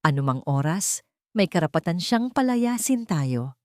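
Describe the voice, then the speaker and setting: A woman's voice: on the low side, big pitch swings, unhurried, no room sound, studio quality. Woman voicing a tense, formal Filipino businessman. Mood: tense, warning. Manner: formal, grave. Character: tense, commanding wealthy businessman and new father.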